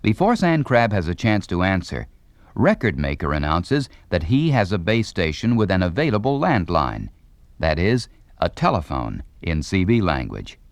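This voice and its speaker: A man's voice: sits low, sing-song, moderate pace, no echo. A man reading scripted instructional narration with a polished, formal announcer delivery.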